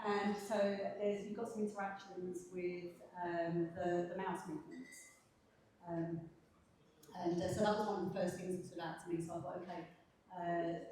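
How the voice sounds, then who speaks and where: Woman panelist, quiet mellow voice, hesitant with restarts; handheld mic held off, echoey distant sound. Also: lowish voice, a little hiss or hum. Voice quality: fairly quiet voice. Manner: hesitant, many restarts and fillers. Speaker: panel reviewer. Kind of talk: spoken portfolio critique. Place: café event space. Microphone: handheld mic, held away at times. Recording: very echoey room, distant mic, faint ambience.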